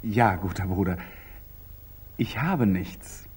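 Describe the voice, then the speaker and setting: An actor playing a proud, curt, sardonic knight templar in a theatrical 1940s German radio drama. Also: male voice, sits low, lively intonation, takes it slowly, a little mellow, husky, exaggerated voice. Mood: wry. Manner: clipped, theatrical, wry.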